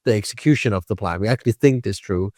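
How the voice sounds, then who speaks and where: Animated man hosting. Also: lowish voice, fast talker, no echo, studio quality. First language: Danish. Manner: animated, emphatic, checks in with tag questions. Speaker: podcast host. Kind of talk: conversational interview.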